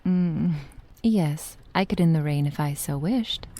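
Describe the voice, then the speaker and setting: A woman playing a possessive, doting witch in an intimate ASMR roleplay, speaking softly and unhurriedly, often slipping into a whisper. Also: low-pitched, no room sound. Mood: measured, instructive. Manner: soft, unhurried, affectionate, often dropping to a whisper.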